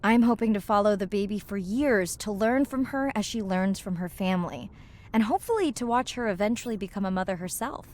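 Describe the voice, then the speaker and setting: A woman playing an earnest, devoted young marine scientist in a video game, warm, wry and conversational. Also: medium pitch, fairly quick, close to an everyday voice. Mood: thoughtful, hopeful. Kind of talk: naturalistic video game voice acting.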